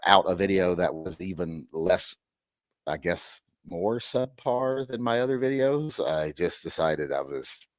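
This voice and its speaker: A middle-aged man with a hoarse, gravelly voice hosting a rambling live-stream chat with wry, self-deprecating asides. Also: deep voice, dry recording. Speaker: host.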